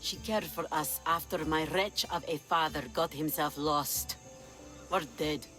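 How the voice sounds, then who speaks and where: Bossy sly pirate queen in a game, theatrical, flirty, music loud behind. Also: sits low, steady tone, measured pace. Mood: intimate. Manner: theatrical, commanding. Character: commanding female pirate leader, sly, imperious, flirtatious. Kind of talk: video game cutscene acting.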